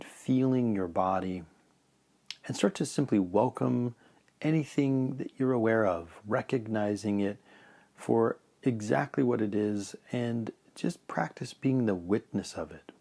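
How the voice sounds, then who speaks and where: A man leading a guided meditation in a calm, measured way, letting phrase ends trail off softly. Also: on the low side.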